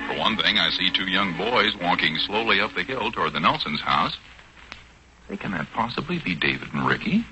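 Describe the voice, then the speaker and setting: A man's voice: low-pitched, big pitch swings, moderate pace, sharp tone, rough recording. An American man announcing and narrating a 1940s radio show from a script. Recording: old 1940s radio recording with music. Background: music.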